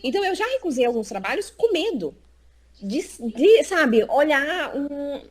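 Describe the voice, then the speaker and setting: A Brazilian career consultant speaks on a video call, chatty and full of fillers. Her pitch sits a little high and swings widely as she talks. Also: measured pace. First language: Portuguese. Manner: chatty, lively, lots of fillers like "então, assim". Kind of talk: casual live interview.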